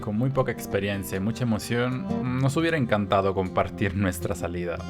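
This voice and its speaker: A man narrating a travel vlog in calm, measured, scripted voice-over. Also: fairly low pitch, loud music behind the voice.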